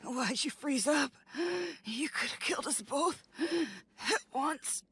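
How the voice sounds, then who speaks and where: A woman's voice: high voice, lively intonation, slow pace, very noisy, heavily acted. A woman voicing a resolute, defiant young fighter in an anime dub, challenging and determined. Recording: music behind.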